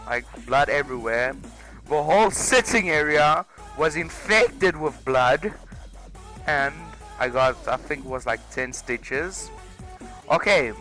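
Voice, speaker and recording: A man's voice: on the high side, bright, forceful tone. A young man, telling a personal story casually with exaggerated comic emphasis, over music. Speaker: video creator.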